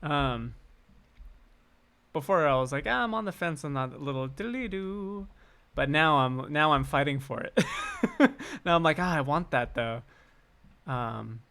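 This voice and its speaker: A man's voice: a little high, lively intonation, a little slow, fairly bright tone, smooth. A man hosting a livestream on composing, thinking aloud in a casual, chatty, informal way. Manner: informal and chatty, hums snatches of melody. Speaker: composer hosting a livestream on writing game music.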